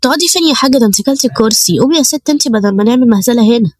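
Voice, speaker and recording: Brief: a woman playing a blunt, cheeky young Egyptian woman in a storybook tale, fast and mellow. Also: fairly high pitch, expressive, no echo, studio quality. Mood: quarrelsome.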